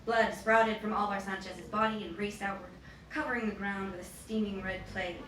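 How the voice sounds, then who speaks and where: Bright-voiced woman reading fiction aloud. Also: medium pitch, fairly even intonation, a little fast. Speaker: author reading from her novel. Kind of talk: expressive public book reading. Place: indoor bookstore event. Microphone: boom-stand mic at lectern, distant camera. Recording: roomy, distant, noisy bookstore recording.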